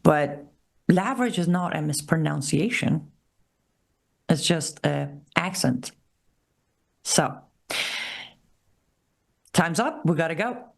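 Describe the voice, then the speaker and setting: A woman with an Iranian accent hosting a political commentary show, speaking emphatically and repeating key phrases slowly. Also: sits low, lively intonation, creaky. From Iran. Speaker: show host.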